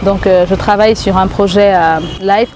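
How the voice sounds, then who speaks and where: A woman singer being interviewed, speaking thoughtfully and pausing often to search for her words. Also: medium pitch, steady tone, a little mellow, slight background noise. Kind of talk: casual interview talk.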